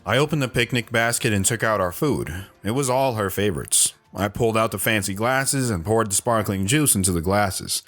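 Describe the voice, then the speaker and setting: A man's voice: fairly low pitch, lively intonation, moderate pace, few pauses, fairly bright tone, soft background music. A man playing an earnest, lovestruck lone wanderer recounting his life in a reflective, sentimental audio drama style. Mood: tender, nostalgic. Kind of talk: dramatic first-person audio drama narration.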